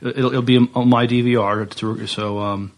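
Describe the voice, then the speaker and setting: An American man co-hosting a podcast, giving news in a casual, somewhat halting way. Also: medium pitch, level tone. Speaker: podcast co-host.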